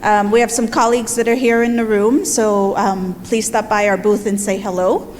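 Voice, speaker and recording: A woman's voice: mid-range voice, fairly even intonation, moderate pace, darkish tone. Friendly female presenter from Guyana, conversational, echoing hall. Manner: friendly, conversational, many fillers. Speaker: solutions consultant presenter. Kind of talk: conference presentation. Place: large conference hall. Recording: hall reverb with faint noise.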